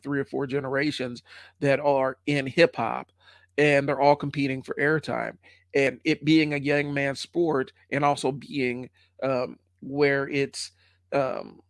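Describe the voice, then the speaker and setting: A man's voice: medium pitch, dry recording, studio quality. A man in his forties with the sniffles, hosting a solo stream and talking casually, with many fillers. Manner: conversational, rambling with many fillers. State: stuffy, has the sniffles. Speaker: podcast host.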